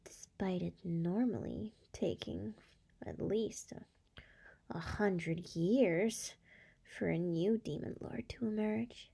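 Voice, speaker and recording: A woman voicing a seductive, scheming succubus demon lord in an ASMR roleplay, breathy and soft, whispering with a teasing purr close to the microphone. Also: fairly low pitch, takes it slowly, full character voice. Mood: sly, calm.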